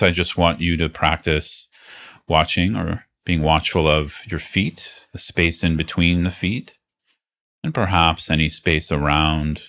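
Man, very low pitch, guided meditation, unhurried delivery, reassuring instruction, pauses between phrases. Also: a bit bright. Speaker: meditation teacher.

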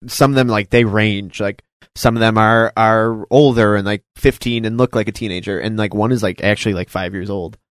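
A man's voice: on the low side, steady tone, a little fast, few pauses, dry recording. A man chatting as a podcast guest about his theater, laid-back and laughing easily. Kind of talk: casual podcast chat.

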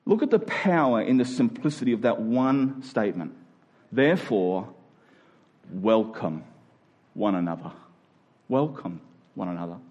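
A man's voice: lowish voice, animated, measured pace, long pauses, a little mellow, dull audio. A man preaching a conversational sermon to a congregation, in colloquial language with emphatic repeated phrases. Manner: colloquial, dramatizing imagined exchanges.

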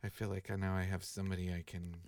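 A man's voice: low voice, completely flat delivery, racing, noisy background. A man co-hosting a podcast, joking around in a playful way. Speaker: podcast co-host. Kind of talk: casual podcast chat.

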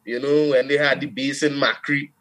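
A man from Trinidad with a Trinidadian accent, a steelpan player and producer talking in a casual interview, animated, with frequent restarts and "you know". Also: medium pitch, moderate pace, poor audio.

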